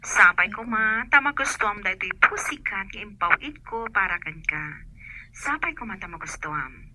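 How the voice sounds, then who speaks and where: Woman acting gentle hopeful letter voice, ilocano accent, medium pitch, expressive swings, noisy background. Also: moderate pace, lightly acted. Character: gentle, hopeful woman voicing her gift note to a child. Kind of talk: radio drama acting.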